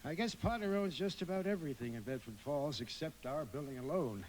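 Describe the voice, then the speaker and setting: An actor playing a tired, gentle father with a weary manner in a live radio drama. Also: fairly high pitch, expressive, brisk, slight background noise, natural voice, rough recording. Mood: weary, fond. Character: tired, gentle middle-aged or older father. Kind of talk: live radio play acting.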